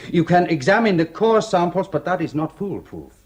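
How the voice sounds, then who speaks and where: A stammering rig engineer. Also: male voice, high voice, lively intonation, moderate pace. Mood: explaining. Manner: hesitant, stammering, pleading. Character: French-speaking oil rig logging engineer, man, nervous gambler. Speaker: logging engineer. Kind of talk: radio drama acting.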